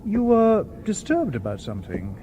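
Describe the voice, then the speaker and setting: An actor playing a calm, formal, unflappable male robot detective with precise speech in a radio drama. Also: sits high, moderate pace, a little hiss or hum, rough recording. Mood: matter-of-fact.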